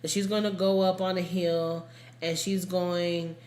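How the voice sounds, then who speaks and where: A woman with a fairly low voice tells a story conversationally, as a podcast host would among friends. Her phrasing is informal and emphatic. The room around her rings with noticeable echo. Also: little pitch movement, measured pace, a little mellow. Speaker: true crime podcast host. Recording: echoey room, casual podcast recording.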